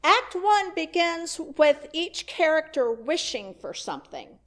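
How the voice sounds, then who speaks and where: Lady minister preaching in church, slow and animated, dry little jokes. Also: sits high. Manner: conversational storytelling with wry asides. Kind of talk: sermon. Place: church sanctuary.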